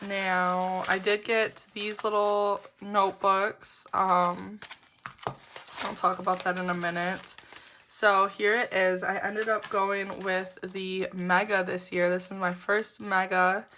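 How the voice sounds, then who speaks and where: Bright, flat-toned woman with a sick voice. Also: mid-range voice, slow, a little hiss or hum. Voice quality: slightly weird-sounding sick voice. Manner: chatty, upbeat, giggly, with frequent fillers. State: voice roughened from a lingering illness. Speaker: planner vlogger. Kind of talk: casual unboxing chat.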